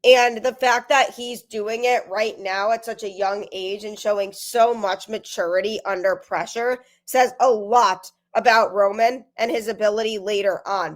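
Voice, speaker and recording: A young woman hosting a sports podcast, talking energetically with a stuffy voice from a cold. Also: medium pitch. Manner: energetic, emphatic. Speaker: podcast host.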